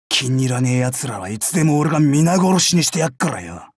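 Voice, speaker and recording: An actor playing a brash, bloodthirsty young male samurai in a mobile game, with rough, swaggering speech. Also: medium pitch, no room sound, clean studio sound. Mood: boisterous, gleeful. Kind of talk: Japanese mobile game character voice lines.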